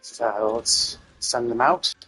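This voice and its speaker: A man's voice: mid-range voice, takes it slowly, sharp tone. A man doing casual live game commentary, thinking aloud in a rambling way and swearing casually.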